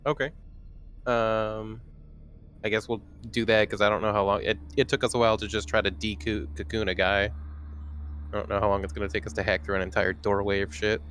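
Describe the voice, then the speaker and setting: A man chats as a player in a tabletop game. He has a fairly low voice and an informal, slangy way of talking, with faint noise behind him. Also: little pitch movement, a little fast, fairly bright tone. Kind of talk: casual game-table chat.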